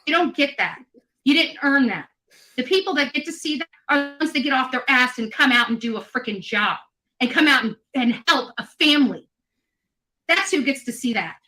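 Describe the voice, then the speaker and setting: Woman livestreamer, high-pitched and tense, worn out, defensive and foul-mouthed, venting at critics. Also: animated, moderate pace, bright, forceful tone, rough recording. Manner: combative, profane, defensive. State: tired. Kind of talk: angry unscripted livestream rant.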